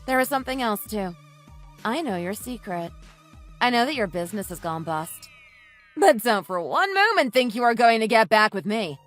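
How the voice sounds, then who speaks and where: Actress doing a fed-up angry wife in an audio drama, cold and scornful, really animated pitch. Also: female voice, normal speed, soft background music. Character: angry, resolute, scornful wife divorcing her husband. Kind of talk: dramatized story dialogue.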